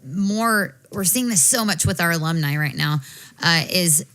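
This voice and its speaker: An American woman co-hosting a casual panel chat, talking briskly and frankly, swearing casually as she gives advice. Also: on the low side, animated, fairly bright tone. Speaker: podcast co-host.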